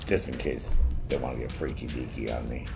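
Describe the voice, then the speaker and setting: A man's voice: very deep voice, steady tone, fairly quick, vocal fry, loud music behind the voice. A man casually streaming a shooter game, chatty and profane, with a soothing voice. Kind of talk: casual live-stream gaming commentary. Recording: game gunfire over voice.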